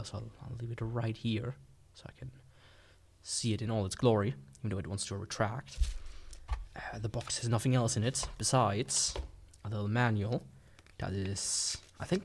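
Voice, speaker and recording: A man's voice: medium pitch, a little hiss or hum. A man with a mid-range voice talks through a product unboxing in an informal way. His delivery is sarcastic, with playful overstatement as he comments like a gadget reviewer.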